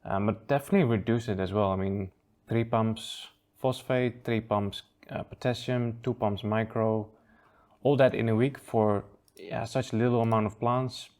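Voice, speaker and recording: Man, low voice, easygoing, chuckles a lot, casual review talk, restarts sentences. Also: steady tone, moderate pace, darkish tone. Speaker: aquascaping channel host.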